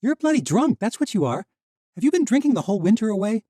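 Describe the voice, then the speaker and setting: A man voicing a fierce young woman in grief, sharp and quick to anger, in an audiobook. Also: sits high, big pitch swings, no echo, clean studio sound, voice pushed up. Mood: furious. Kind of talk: audiobook character voice.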